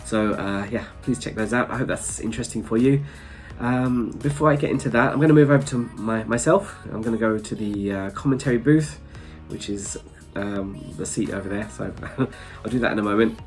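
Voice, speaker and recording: A man's voice: lowish voice, fairly quick, few pauses, faint music behind. A man with a blocked-up nose from hay fever, chatting casually and a little rambling to his viewers, with small chuckles. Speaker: travel vlogger. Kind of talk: casual chat to camera.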